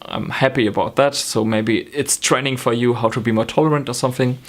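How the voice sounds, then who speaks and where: A man's voice: mid-range voice, steady tone, fairly quick. A young man from Germany with a German accent, chatting to camera in a rambling way, with many ums and self-corrections, from a small home room. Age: young adult. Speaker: knitting vlogger. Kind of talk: casual chat to camera. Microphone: camera in front, close framing.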